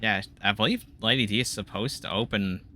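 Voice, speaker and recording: A man's voice: lowish voice, brisk, sharp tone, slight background noise. A man doing casual let's-play commentary, chatty and laughing, muttering to himself when he gets stuck.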